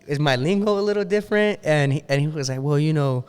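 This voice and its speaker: A man's voice: a little high, quick pace, a little mellow, smooth, long reverb tail. Easygoing man hosting a podcast chat. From Los Angeles area, US. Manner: laid-back slang, mixes in Spanish. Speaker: podcast host. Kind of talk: casual interview chat.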